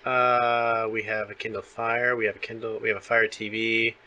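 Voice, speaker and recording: A man's voice: fairly low pitch, level tone, normal speed, pushed and bright, rough recording. A man hosting a casual interview, talking like an enthusiastic fan. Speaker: interviewer, host.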